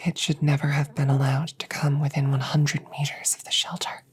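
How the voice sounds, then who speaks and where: A woman playing a protective, guilt-ridden female android sharpshooter in a roleplay audio drama, speaking in slow, measured, soothing phrases with a breathy voice. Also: deep voice, completely flat delivery. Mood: remorseful. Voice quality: breathy whisper.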